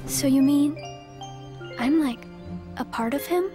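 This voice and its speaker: Casting: gentle, troubled teen girl for a video game, high voice, slow hesitant delivery, music behind. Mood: troubled, questioning. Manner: earnest, hesitant. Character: troubled, gentle teenage girl who feels like a puppet. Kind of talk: video game cutscene acting.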